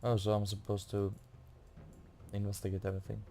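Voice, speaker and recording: A man giving casual commentary as he plays a video game, haltingly thinking aloud. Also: lowish voice, monotone, dark tone, a little hiss or hum. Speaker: video game player making a playthrough.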